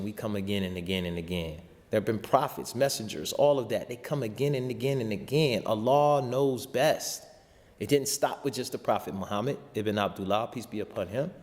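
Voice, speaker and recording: Deep-voiced man preaching in heavy reverb. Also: a little mellow. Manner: informal vernacular, rhetorical repetition. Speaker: show host and spiritual teacher. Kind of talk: impassioned spiritual talk, sermon-like exhortation. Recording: long hall-like reverb.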